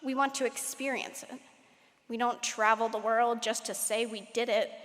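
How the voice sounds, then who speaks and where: A teenage girl delivers her valedictorian speech at a graduation ceremony in a large hall. Her voice sits fairly high, and she speaks at a measured pace with light humor. A long reverb trails each phrase. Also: steady tone, fairly bright tone. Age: late teens. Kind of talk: prepared commencement speech.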